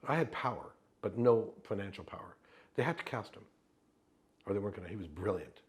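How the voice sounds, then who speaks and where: Man, native Yiddish speaker, interviewee, storytelling; deep and husky, animated, acts out the dialogue he quotes. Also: brisk. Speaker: actor interviewee. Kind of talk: anecdotal storytelling in an oral history interview.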